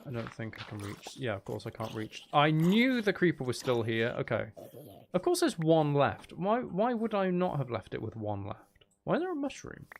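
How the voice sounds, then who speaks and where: A man's voice: a little high, wildly swooping pitch, moderate pace, creaky, slight background noise. A man giving casual live commentary while streaming a video game, muttering wry, exasperated asides to himself.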